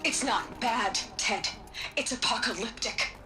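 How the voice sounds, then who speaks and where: A woman's voice: fairly high pitch, unhurried, natural voice. Actress as a blunt, commanding woman scientist over music. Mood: grave, accusing. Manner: blunt, cutting, matter-of-fact. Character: blunt, stern, brilliant female scientist, scornful and commanding. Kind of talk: cinematic video game acting.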